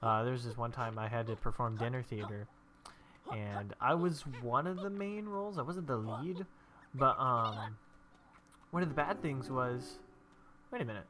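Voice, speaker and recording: A man's voice: medium pitch, moderate pace, bright, forceful tone. Stuffy-nosed young man chatting through gameplay. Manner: rambling, chatty storytelling while playing. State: stuffy from allergies. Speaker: gameplay video host. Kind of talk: casual gameplay commentary.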